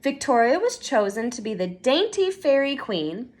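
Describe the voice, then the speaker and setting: Very high-pitched woman reading a storybook. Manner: expressive, dramatic, deliberate teacher-like delivery. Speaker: storyteller reading aloud to children. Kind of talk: children's picture book read-aloud.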